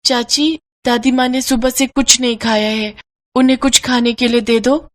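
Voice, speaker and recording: A woman's voice: fairly high pitch, fairly even intonation, normal speed, a little hiss or hum, close to an everyday voice. A woman voicing an innocent, worried little orphan girl in a story, earnest and pleading.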